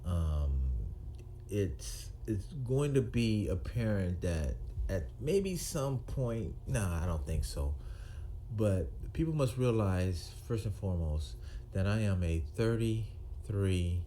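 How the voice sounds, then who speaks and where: A man in his sixties, a retired police officer, talking to camera in a halting, firm way with many ums and restarts. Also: low voice, expressive, mellow, slight background noise. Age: 60s. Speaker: retired police officer turned video maker. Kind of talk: unscripted monologue to camera.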